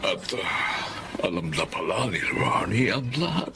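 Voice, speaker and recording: A man's voice: deep voice, big pitch swings, measured pace, gravelly, loud music behind the voice, heavily acted. An actor playing a benevolent middle-aged adoptive father in a radio drama. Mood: relieved.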